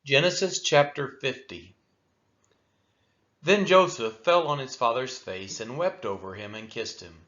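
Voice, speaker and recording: A man reading scripture aloud for a daily read-along, with measured, solemn and deliberate phrasing. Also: medium pitch, a bit bright. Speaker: Bible reader for a church daily read-along. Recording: dull, lo-fi sound.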